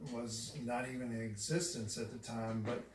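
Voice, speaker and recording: A middle-aged man co-hosting a podcast in casual chat, speaking in a measured, reflective way and looking back on older technology. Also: medium pitch, flat delivery. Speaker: podcast co-host. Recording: echoey room, mic at a distance, faint noise.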